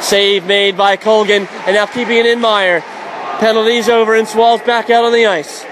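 A man doing radio play-by-play of a college hockey game, calling plays quickly and shouting at the big moments. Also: high voice, steady tone, a crowd in the background. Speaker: play-by-play announcer. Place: college ice arena. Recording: noisy background.

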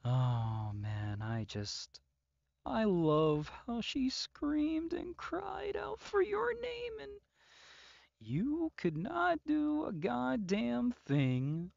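Psychopathic yandere lover, played by a man for audio roleplay: mock-tender, threatening, very drawn-out delivery, close dry sound. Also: very high voice, sing-song, creaky, a little mellow, dry recording, muffled recording, full character voice. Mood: sadistic glee. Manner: slow, drawn-out, mock-tender, often dropping to whispers. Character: obsessive male yandere psychopath captor, possessive and menacing. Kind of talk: intimate roleplay audio drama monologue.

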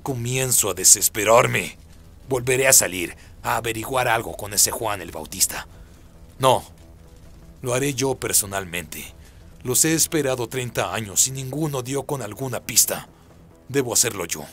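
A man's voice: medium pitch, expressive, normal speed, fairly bright tone, faint music behind. A man playing a proud, impatient fallen angel who commands demons in a radio play.

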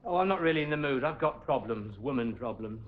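A man's voice: mid-range voice, lively intonation, brisk, close to an everyday voice. A man in his thirties playing a fussy, predictable husband who doubts himself, in an earnest, flustered 1980s sitcom way. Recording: dull lo-fi TV audio with hiss.

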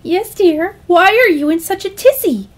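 A woman's voice: extremely high pitch, animated, normal speed, voice pushed up. A woman voicing a dotty older mother in a storybook tale, sweet, placid and oblivious. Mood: breezy, oblivious. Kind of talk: acted storytelling dialogue.

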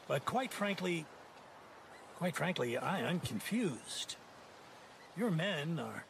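An actor playing a pompous, condescending army colonel who is easily riled, in a dramatic video game. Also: male voice, medium pitch, vocal fry, background noise, full character voice. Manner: pompous, halting and dismissive.

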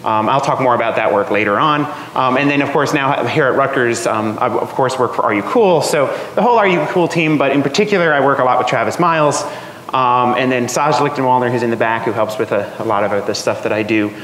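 A man's voice: medium pitch, fairly quick. Man lecturing in an echoey hall. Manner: relaxed, chatty, many ums and uhs. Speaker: guest seminar speaker. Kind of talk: academic seminar talk. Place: lecture room. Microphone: room microphone. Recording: echoey hall, faint noise.

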